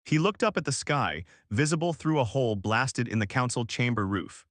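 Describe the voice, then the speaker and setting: Steady male story narrator, dry close recording. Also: mid-range voice, normal speed, no room sound. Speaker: narrator of a science fiction story. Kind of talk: scripted dramatic narration.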